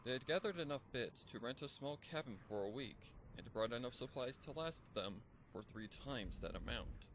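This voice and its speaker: Male story narrator, mid-pitched, scripted dramatic reading, slight noise and ambience behind. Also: expressive, normal speed, a bit bright.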